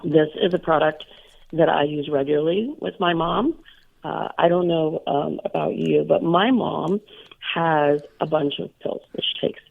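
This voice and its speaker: Hoarse woman co-host calling in by phone; gravelly, raspy, casual and filler-heavy. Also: deep voice, unhurried. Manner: chatty, many fillers. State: hoarse, recovering from illness. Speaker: radio co-host. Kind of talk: casual radio chat. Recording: tinny phone line.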